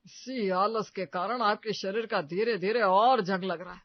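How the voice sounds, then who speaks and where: A man's voice: high-pitched, a little slow, no echo, dull audio. A man playing a boastful, short-tempered middle-aged father in a comic story drama, pompous and scolding. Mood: grumbling. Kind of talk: Hindi comedy story dramatization.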